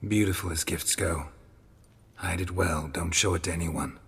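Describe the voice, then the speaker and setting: An actor playing a grizzled male monster hunter in a video game, speaking in a gravelly, terse, dry way. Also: low-pitched, fairly even intonation, normal speed, fairly bright tone, big-room sound, exaggerated voice. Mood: calm, terse.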